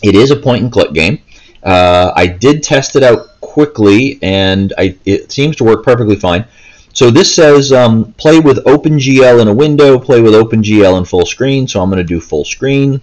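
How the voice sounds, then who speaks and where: A man's voice: medium pitch, unhurried, a little mellow, rough recording. A man giving a casual, unscripted gameplay walkthrough in a hesitant, rambling way, often stopping mid-thought. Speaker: gaming video host. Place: indoors, seated, handheld console in hands.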